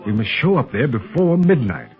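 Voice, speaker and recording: An actor playing a calm, protective secret agent mentoring a boy in a 1930s radio adventure serial. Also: mid-range voice, moderate pace, natural voice. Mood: tense, steady. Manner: calm, reassuring, authoritative. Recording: muffled 1930s radio recording.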